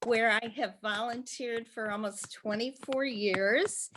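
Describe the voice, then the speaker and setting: A woman's voice: fairly high pitch, fairly even intonation, slow pace, fairly bright tone, a little hiss or hum, rough recording. Eighty-year-old woman in conversation. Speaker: interviewee, hospital volunteer. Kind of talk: reflective personal conversation.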